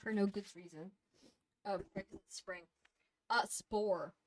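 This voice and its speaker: A woman's voice: mid-range voice, measured pace, dry recording, poor audio. Casual, rambling young woman reviewing games. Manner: rambling and profane, with many fillers. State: sniffly, losing her voice. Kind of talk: casual chat.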